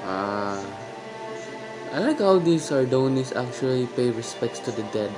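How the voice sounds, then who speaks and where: Young guy talking real quiet into his phone, small room, show music playing. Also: sing-song, low-quality recording. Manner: quiet, halting, low-voiced to avoid waking someone. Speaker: reaction video host. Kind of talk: hushed casual commentary. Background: series audio playing.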